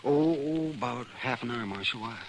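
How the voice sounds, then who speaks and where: An actor playing a folksy, offhand, slightly hesitant town doctor in an old-time radio western. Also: male voice, mid-range voice, moderate pace, close to an everyday voice. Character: fussy, matter-of-fact frontier town doctor, male. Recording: noisy vintage radio recording.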